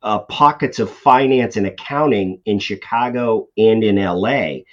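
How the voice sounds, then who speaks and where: A middle-aged man with a Boston accent talks through his answers in a relaxed, conversational way, often pausing on ums and restarting his sentences. His voice sits low. The recording is dull and lo-fi. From Boston area. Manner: conversational, many fillers and restarts. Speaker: CFO guest. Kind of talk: podcast interview answers. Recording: dull lo-fi audio.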